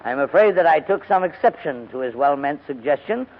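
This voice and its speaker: An actor playing a proud, cantankerous elderly English gentleman in a wordy, pompous 1940s radio drama style. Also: on the high side, expressive, brisk, lightly acted. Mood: ashamed, rueful. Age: older. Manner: pompous, wordy, indignant, hesitating. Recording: dull vintage radio broadcast recording.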